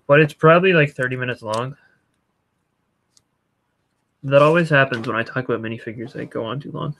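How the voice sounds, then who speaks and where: A young man chatting casually as a guest, with a dry, deadpan way of teasing. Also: mid-range voice, fairly quick, gravelly, a little hiss or hum, rough recording. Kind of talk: casual chat.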